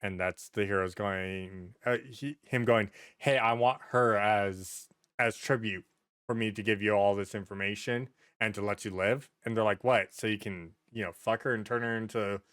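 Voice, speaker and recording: A man chatting casually as a podcast host, telling stories in a rambling, excitable way and swearing freely. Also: fairly low pitch, moderate pace, clean tone, no room sound, studio quality.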